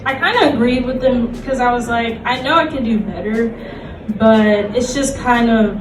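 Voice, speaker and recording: A woman talks casually on a panel in a candid, slangy way. Her fairly high voice sounds distant and echoey, with a crowd clearly heard behind her. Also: moderate pace. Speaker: panelist, comic producer. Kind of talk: casual chat. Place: convention panel room.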